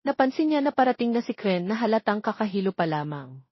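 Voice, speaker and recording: Husky Filipino woman narrating, roomy sound. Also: medium pitch, sing-song, fast, dull audio. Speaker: story narrator. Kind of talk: scripted romance story narration in storytelling manner.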